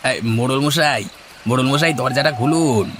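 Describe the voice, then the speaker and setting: A man voicing a lazy, greedy village husband in a cartoon, a scheming flatterer with a wheedling, hemming, theatrical delivery. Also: mid-range voice, moderate pace, a little hiss or hum, natural voice. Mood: fawning. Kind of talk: Bengali cartoon dubbing.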